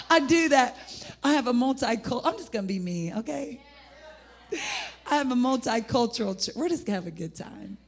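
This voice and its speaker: A middle-aged woman with an American accent and a husky, gravelly voice, preaching an informal, rambling sermon in an animated, folksy way. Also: on the high side, normal speed, long pauses. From United States (Indianapolis, Indiana). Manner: animated, rambling, folksy, breaking into laughter and exclamations. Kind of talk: informal sermon with personal testimony.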